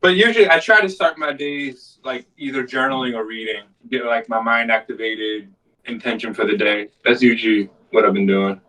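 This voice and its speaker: A man's voice: medium pitch, fairly even intonation, normal speed, sharp tone. A man in his twenties from New Jersey, chatting casually as a musician in an interview. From Newark, New Jersey, United States. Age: 20s. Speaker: band musician being interviewed. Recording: voice distant from the mic.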